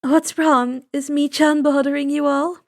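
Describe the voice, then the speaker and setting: A woman voicing a gentle, caring, slightly tentative woman in a story reading. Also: high voice, level tone, a little slow, creaky. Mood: cheerful, caring. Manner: kindly, tentative. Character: gentle, caring, soft-hearted woman lawyer.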